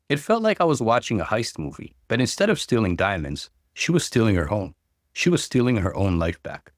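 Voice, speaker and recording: A man hosting a story channel, delivering scripted narration and conversational commentary with expressive emphasis. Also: medium pitch, dry recording, clean studio sound. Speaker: host reading and commenting on online stories.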